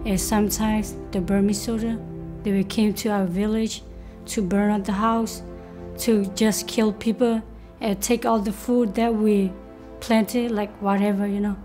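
A young woman recounts her past in a simple, matter-of-fact way. Her voice stays level and flat, with music underneath. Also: mid-range voice, a little slow. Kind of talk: interview.

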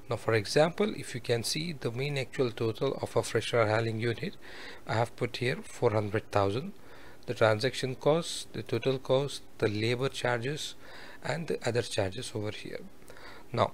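Man calmly explaining a spreadsheet. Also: mid-range voice, slight background noise. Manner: methodical, explains step by step with examples. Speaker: instructor. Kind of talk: screen-share technical tutorial. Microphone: voice-over.

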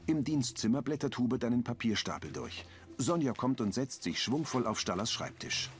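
A man reading a calm, matter-of-fact audio description of scenes for an accessible TV version. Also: medium pitch, normal speed.

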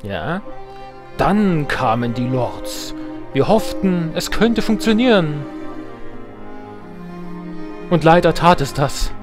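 A man hosts a let's play over clear game music. His pitch swings in a lively way as he moves between casual commentary, jokey asides and reading on-screen text. He sounds self-ironic and playful, at an ordinary male pitch. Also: slow pace, long pauses.

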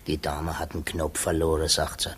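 An unhurried prison guard in an old radio play, deep voice, plain and matter-of-fact. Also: male voice, monotone, fairly bright tone, a little hiss or hum. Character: plain-spoken, unhurried male prison warder. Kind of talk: 1950s German radio drama acting.

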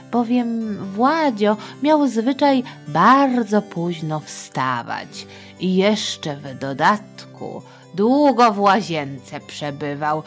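A middle-aged woman telling history to children in a chatty, playful, theatrical way, with asides. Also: medium pitch. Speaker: storyteller, podcast host.

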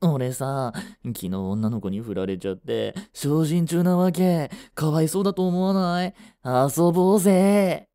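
A man's voice: fairly high pitch, animated, unhurried, hardly any pauses, mellow, voice pushed up. Man putting on a sleazy, drawling street flirt. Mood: smarmy, wheedling. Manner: drawling, smarmy, taunting. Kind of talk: romantic audio drama acting.

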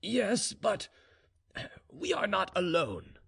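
Man playing a stern high-pitched Russian general. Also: huge pitch swings, slow pace, vocal fry, a bit bright, husky, exaggerated voice. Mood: grave, guarded. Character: stern, suspicious aristocratic Russian general, a troubled father.

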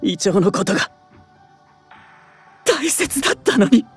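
An actor playing a gentle, guilt-ridden young man in a Japanese voice drama, crying as he speaks in a breathy, broken voice. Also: high-pitched, very animated, unhurried, faint music behind, exaggerated voice. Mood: tearful remorse.

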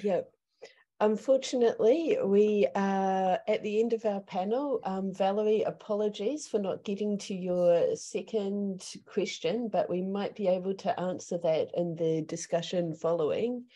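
A woman's voice: medium pitch, a little slow, dark tone, dull audio. A woman moderating a panel on a video call from a bedroom at home, wrapping up in a chatty, slightly scattered way. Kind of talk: casual panel wrap-up. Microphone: headset on video call.

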